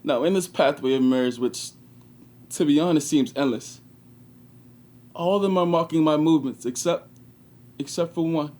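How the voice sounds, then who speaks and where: An actor playing an anguished, regretful 21-year-old prisoner in a confessional stage monologue with dramatic pauses. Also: male voice, on the high side, mellow, natural voice. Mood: wistful. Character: anguished 21-year-old male prisoner, former track star, bitter and regretful.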